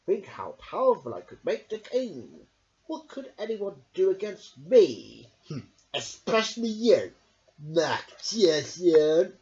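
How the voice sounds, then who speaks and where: A sneering storybook sorcerer played by a woman, pompous and full of menace. The words come slowly and with heavy swagger. The recording is slightly dull. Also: lowish voice, very animated, warm tone, pitched above the performer's own voice. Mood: taunting. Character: sneering, wicked, boastful male sorcerer.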